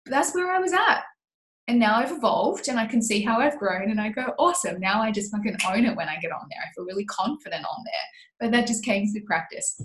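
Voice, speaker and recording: A woman in her thirties coaching a mastermind group in casual talk, animated and laughing often as she acts out her past self. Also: on the high side, a little fast, reverberant.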